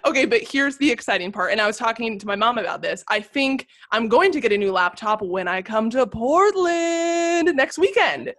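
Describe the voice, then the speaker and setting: Loud, energetic young woman co-host. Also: fairly high pitch, moderate pace, few pauses. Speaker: podcast co-host. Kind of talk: casual chat.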